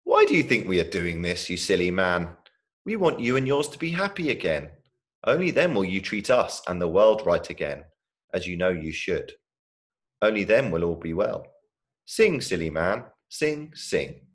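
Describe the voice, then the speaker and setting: A man voicing a wise, forgiving blackbird in a storybook, gently chiding and urging. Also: low-pitched, big pitch swings, moderate pace. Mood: earnest urging. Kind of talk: storybook reading.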